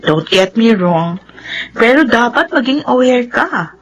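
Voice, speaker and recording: A woman's voice: fairly high pitch, expressive, slow, lo-fi sound. An actress playing a nosy, suspicious female friend in a radio drama, insinuating in tone. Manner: insinuating, gossipy.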